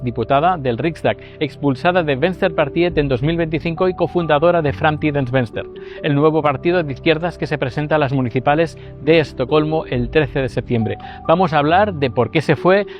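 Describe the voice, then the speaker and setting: A man hosting and interviewing in a clear presenter manner. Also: on the high side, muffled recording. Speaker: interviewer, host. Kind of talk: scripted intro, then interview questions. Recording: faint music behind.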